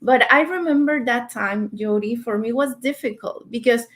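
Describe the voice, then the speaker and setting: A woman from Mexico with a Mexican accent speaks English as her second language in a fairly high voice. She talks earnestly and stops now and then to search for her words as a guest in a casual online interview. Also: normal speed. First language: Spanish. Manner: earnest, searching for words, small grammar slips.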